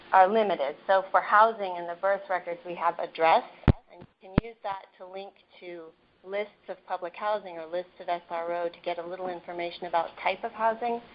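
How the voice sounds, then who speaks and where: A soft-spoken woman epidemiologist presenting slides in a measured, explanatory way, with frequent ums and restarts. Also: fairly low pitch. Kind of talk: slide presentation over a phone line. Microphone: phone on the table. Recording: muffled phone line, faint noise.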